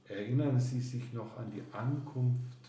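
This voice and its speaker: A man asking calm, brief interview questions. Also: medium pitch, a little slow. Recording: distant, roomy, off-mic with faint noise.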